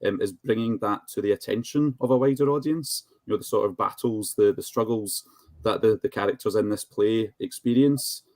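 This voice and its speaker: A man speaking as a charity representative in a panel discussion, measured and articulate with hesitant pauses. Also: lowish voice, darkish tone, no room sound.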